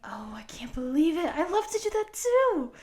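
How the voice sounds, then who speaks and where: A woman voicing a friendly, excitable eighteen-year-old wolf girl who rambles from one thing to the next in an audio roleplay for the listener. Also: high-pitched, normal speed. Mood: excited, curious. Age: young adult.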